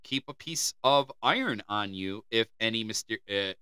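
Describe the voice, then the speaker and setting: A man's voice: fairly low pitch, animated, normal speed, pushed and bright, smooth, no room sound, studio quality. An American man co-hosting a comedy podcast, reading folklore aloud and joking with casual swearing. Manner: reads folklore aloud, then riffs with swearing and laughs. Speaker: podcast co-host.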